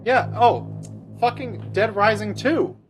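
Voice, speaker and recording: A man's voice: high voice, takes it slowly, over music. A man streaming a game, rambling crudely in casual commentary and laughing often.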